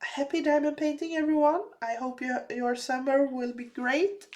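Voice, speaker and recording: A woman chatting casually while crafting, her voice slightly raspy from a recent cold, with many fillers and restarts. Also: high-pitched, fairly even intonation, moderate pace, a little mellow. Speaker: crafting vlogger.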